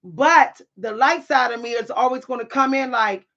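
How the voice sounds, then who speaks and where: A woman with a deep, at times loud voice, speaking in a bold, sassy way with dry humor and repeating phrases for emphasis in a motivational monologue. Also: moderate pace, lo-fi sound. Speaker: spiritual content creator and writer.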